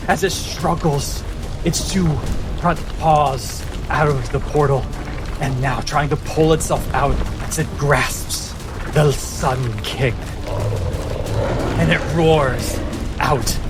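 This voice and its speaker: Slow, suspenseful male game master over music. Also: on the high side. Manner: suspenseful, deliberate emphasis. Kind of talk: dramatic tabletop game narration. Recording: loud music behind voice.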